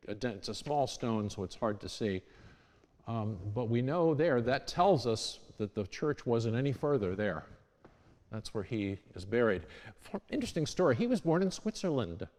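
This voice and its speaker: A man's voice: mid-range voice, darkish tone. A man giving an informal history talk to a congregation in a church, talking conversationally and correcting himself as he goes. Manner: conversational, with restarts and self-corrections. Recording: long church reverb.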